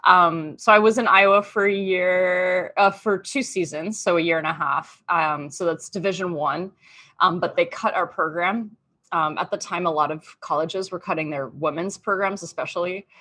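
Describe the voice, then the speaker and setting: Bright-voiced woman chatting casually. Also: on the low side, normal speed. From Australia. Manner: chatty, lots of fillers and "like". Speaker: podcast guest. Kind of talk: casual interview chat.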